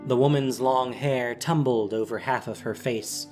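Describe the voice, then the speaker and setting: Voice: medium pitch, steady tone, measured pace, darkish tone, soft background music. A narrator reading a scripted fantasy story in a dramatic storytelling style.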